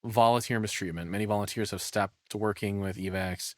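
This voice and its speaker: A man's voice: lowish voice, fairly even intonation, a little fast, dry recording. Rambling, opinionated man in a dry close space. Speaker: streamer reacting to a video. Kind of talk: casual reaction commentary.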